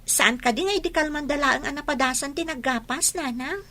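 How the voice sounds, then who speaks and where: A woman's voice: high voice, fairly quick, sharp tone. A woman playing a gossipy, judgmental young daughter in a radio drama, with an Ilocano Filipino accent.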